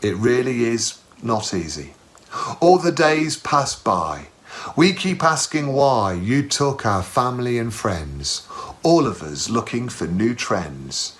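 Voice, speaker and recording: A man reciting spoken-word poetry with a measured rhyming cadence. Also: medium pitch, very animated. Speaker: poetry performer.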